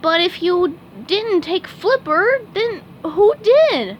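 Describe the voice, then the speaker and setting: A woman puts on a shrill, overexcited young sponge fry cook from a storybook, pitched very high and swooping all over. He sounds eager and breathless with enthusiasm. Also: lively intonation, slow pace, dull audio, a little hiss or hum, full character voice. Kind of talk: storybook read-aloud.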